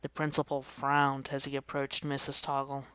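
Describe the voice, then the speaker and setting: A woman reading a children's picture book aloud with clear, gently expressive narration. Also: very deep voice, moderate pace, no echo. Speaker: storybook reader.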